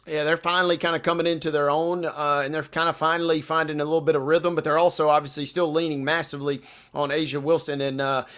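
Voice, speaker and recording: A man's voice: a little high, level tone, fast, fairly bright tone. A man co-hosting a sports radio show in casual, colloquial and playful commentary.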